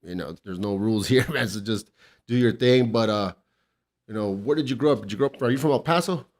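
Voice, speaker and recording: A man's voice: lowish voice, a little fast, darkish tone. A man hosting a casual podcast interview, laid-back, swearing often and cheering his guest on. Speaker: podcast host.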